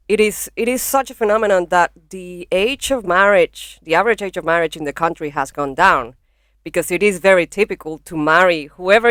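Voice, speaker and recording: Frank Venezuelan woman, crisp dry voice. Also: on the low side, fairly bright tone, no echo. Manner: frank, swears freely, laughs easily. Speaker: guest comedian. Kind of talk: casual podcast chat. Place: small home studio in a bedroom. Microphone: podcast microphone.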